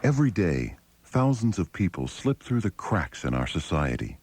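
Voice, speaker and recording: A man's voice: deep voice, big pitch swings, moderate pace, darkish tone, breathy edge, no room sound. A man announcing a scripted charity appeal on a taped 1980s radio broadcast.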